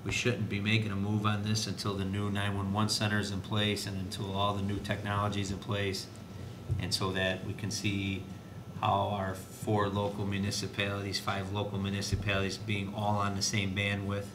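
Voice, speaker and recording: A man speaking as an administrator at a school board meeting, explaining in a halting way with restarts. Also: monotone. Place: indoor board meeting room. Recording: noisy, echoey meeting room with low rumble.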